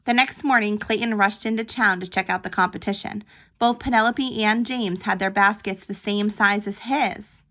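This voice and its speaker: A woman with a bright, forward voice and a middle pitch reads a children's story aloud. She sounds like a teacher, telling it expressively and pausing for little explanations to her young listeners. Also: steady tone. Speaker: teacher reading a picture book aloud.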